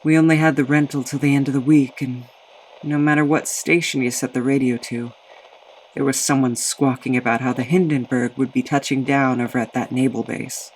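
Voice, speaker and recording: A man's voice: medium pitch, steady tone, brisk, a little hiss or hum, natural voice, poor audio. An actor playing a paranoid, weary immortal goblin in an audio drama, hesitant and uneasy as he speaks and narrates. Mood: brooding narration. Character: immortal male goblin, wary, paranoid, weary fugitive in 1937. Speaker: narrator and lead character. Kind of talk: audio drama acting with first-person narration. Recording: music under the voice.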